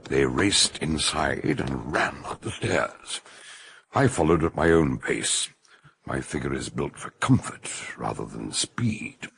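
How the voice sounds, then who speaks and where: An actor playing a stout, wry doctor detective in a radio drama, theatrical and droll, gravelly and husky. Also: male voice, a bass voice, lively intonation, takes it slowly, fairly bright tone, no room sound, exaggerated voice. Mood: grave, storytelling. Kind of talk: radio drama acting and storytelling narration.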